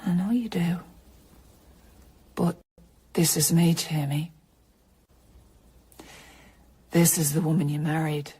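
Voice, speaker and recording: An actress playing a stubborn, driven journalist and wife in a naturalistic radio drama, with a husky voice. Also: female voice, low-pitched, fairly even intonation, measured pace, warm tone, full character voice. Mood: strained, pleading.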